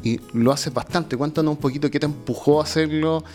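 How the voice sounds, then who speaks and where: A man's voice: fairly high pitch, steady tone, fairly quick, darkish tone, faint music behind. A man with a Chilean accent hosting an informal radio interview.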